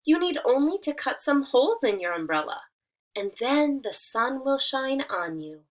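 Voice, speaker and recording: A woman's voice: high-pitched, sing-song, normal speed, voice pushed up, poor audio. A woman voicing a friendly, helpful gibbon from a children's fable, with polite, emphatic storybook diction.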